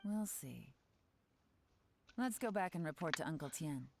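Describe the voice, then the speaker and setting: A cool, poised investigator. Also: female voice, low-pitched, animated, unhurried, gravelly, noisy background, heavily acted.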